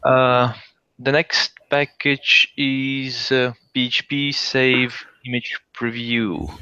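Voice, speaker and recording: A man hosting a relaxed tech chat, reading out items and musing aloud between them. His voice sits at an ordinary pitch and sounds close and dry. The audio is muffled and lo-fi. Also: smooth, dry recording. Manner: reads out listings, thinks aloud. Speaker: podcast host. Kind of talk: casual tech show chat. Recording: dull lo-fi sound.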